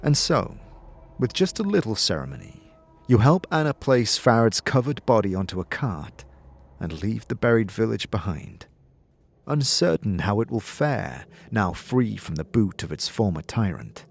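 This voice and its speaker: A man's voice: fairly low pitch, big pitch swings, normal speed, creaky, slightly rough, soft background music. A man reading scripted fantasy narration in the second person, as a game master telling a story.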